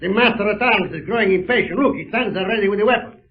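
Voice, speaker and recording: Casting: swaggering Spanish duelist villain, high male voice, menacing and pompous, old narrow radio sound. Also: fast talker, crowd noise. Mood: boastful, taunting. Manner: pompous, taunting, formal speech. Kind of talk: 1930s radio serial acting. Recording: scratchy narrow-band 1930s radio recording.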